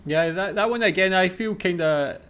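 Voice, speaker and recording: A British man giving casual, halting off-the-cuff commentary as a reaction host, peppered with "like" and "kinda". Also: high voice, fairly bright tone, smooth. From Britain. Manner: halting, hedging with many "like" and "kinda".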